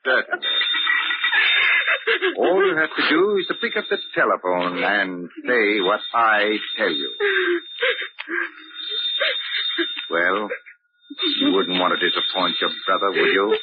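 A cruel, controlling older father in a vintage radio play. His formal, silky speech swoops with condescension and menace. The voice is a little husky, on a very muffled old recording. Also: low-pitched, big pitch swings, measured pace, soft background music, heavily acted. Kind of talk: old-time radio drama acting.

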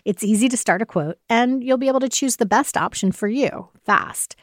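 A woman's voice: on the high side, moderate pace, no echo. Woman reading a podcast ad, very dry. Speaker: podcast ad reader. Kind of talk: scripted conversational advertisement read.

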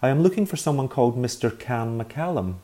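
A man's voice: medium pitch, expressive, a little fast, mellow, voice pushed up, natural voice. A man voicing a timid young boy who speaks with anxious, exaggerated politeness. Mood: nervously polite. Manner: overly polite, nervous.

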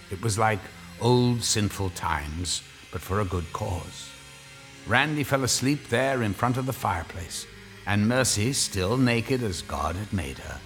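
A man narrating a horror story in a measured, deliberate, dramatic storytelling style. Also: on the low side, fairly bright tone.

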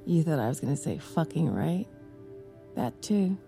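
Creaky, flirtatious, self-assured woman. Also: sits low, animated, over music. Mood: seductive, teasing. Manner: teasing, deliberate. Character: bold, flirtatious, self-assured female colleague. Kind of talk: naturalistic audio drama acting.

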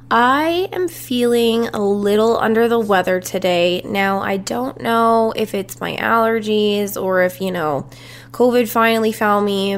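A young woman hosting her own podcast, chatting casually and telling stories from her childhood while her voice sounds congested and stuffy from a runny nose. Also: a little high. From Canada. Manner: chatty, confiding, storytelling. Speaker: podcast host. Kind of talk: casual solo podcast chat.

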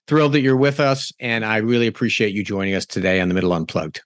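A man's voice: fairly low pitch, steady tone, fast. A man hosting a talk radio show, with a conversational, emphatic delivery that often restarts mid-sentence. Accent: New York. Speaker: radio host.

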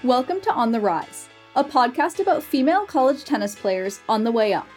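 A woman's voice: a little high, lively intonation, a little fast, a little mellow, faint music behind. A woman hosting a podcast, reading a polished introduction and asking interview questions. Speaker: podcast host.

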